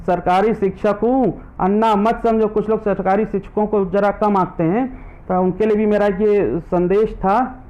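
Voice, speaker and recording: A man's voice: high-pitched, mellow, low-quality recording. A man reciting his own comic and devotional verses live online. He says every line twice and stops between poems to greet viewers by name. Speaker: poet; government teacher.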